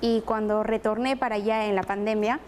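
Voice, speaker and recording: A woman's voice: mid-range voice, fairly even intonation, fairly quick, darkish tone, slight background noise. A woman presenter from Apurímac, Peru, whose first language is Quechua, telling her own story earnestly in an interview. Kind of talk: personal interview testimony.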